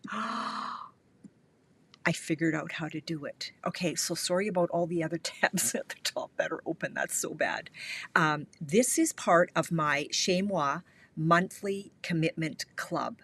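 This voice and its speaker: A woman's voice: sits low, a little slow, fairly bright tone, husky. A middle-aged woman from Canada hosting a live craft tutorial, her voice stuffy and a little scratchy from a cold, chatting and greeting viewers by name. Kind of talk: casual live-stream tutorial chat.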